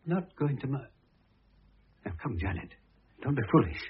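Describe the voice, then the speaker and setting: Conceited middle-aged ex-husband, radio play: very rough husky voice, indignant swoops, muffled narrow old recording. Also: male voice, medium pitch, very animated, normal speed. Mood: baffled, pressing. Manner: pompous, blunt, indignant. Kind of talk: vintage radio drama acting.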